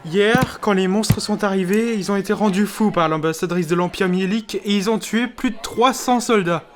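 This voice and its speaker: A man's voice: high voice, moderate pace, lightly acted. A man playing an anxious, gossiping insect tavern patron in an audio drama. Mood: grave. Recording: loud crowd chatter around.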